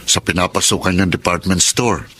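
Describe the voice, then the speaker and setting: A man's voice: sits low, normal speed, sharp tone, a little hiss or hum. A man with a Filipino accent playing an easygoing, self-assured young suitor in a Tagalog radio romance drama, music behind him. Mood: confident.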